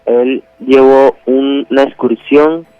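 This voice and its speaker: A man calling a radio show, telling a personal story in a halting, colloquial way. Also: mid-range voice, fairly even intonation, no echo. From Venezuela. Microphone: telephone. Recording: dull, dry phone call to radio.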